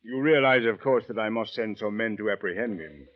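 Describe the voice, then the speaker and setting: A man's voice: on the low side, a little fast, natural voice. A man playing a courteous, precise and stiffly formal police official in an old radio drama. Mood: measured, probing. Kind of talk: 1940s-50s radio drama acting. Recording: muffled old-time radio recording.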